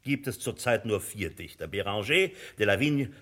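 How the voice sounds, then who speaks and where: An actor in a German radio drama playing an imperious, cynical publisher who talks in a blustering, condescending way. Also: male voice, lowish voice, expressive, moderate pace, fairly bright tone. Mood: dismissive. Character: imperious, cynical, boastful male publisher and bookseller.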